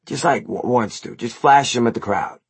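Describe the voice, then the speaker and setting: Man voicing a goofy slacker garage-band teen, pitched up. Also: husky, no room sound. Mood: eager, casual. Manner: slangy, halting, full of "like" and "dude". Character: laid-back teenage garage-band guy, goofy slacker.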